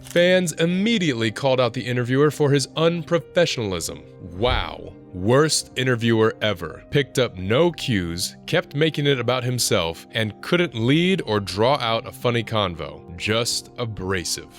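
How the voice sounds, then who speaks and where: A man reading a scripted narration in an even, matter-of-fact way. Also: mid-range voice, unhurried, few pauses, soft background music.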